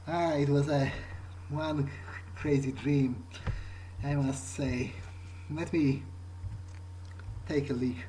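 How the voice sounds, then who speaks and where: A man role-playing a rueful, bewildered new vampire in a video game, thinking aloud in a halting, rambling way with dry sarcasm. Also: medium pitch, fairly even intonation, warm tone, a little hiss or hum, close to an everyday voice. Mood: dazed, musing. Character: rueful, bewildered newly turned young male vampire. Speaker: gaming role-player. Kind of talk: in-character improvised game monologue, thinking aloud.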